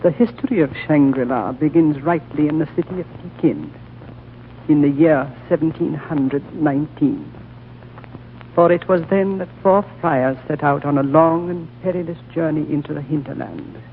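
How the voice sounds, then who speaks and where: A man playing an ancient, gravelly High Lama. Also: moderate pace, close to an everyday voice. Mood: storytelling. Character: ancient frail male High Lama, serene and gentle. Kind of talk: 1930s radio drama acting. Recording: tinny old radio broadcast, faint hiss.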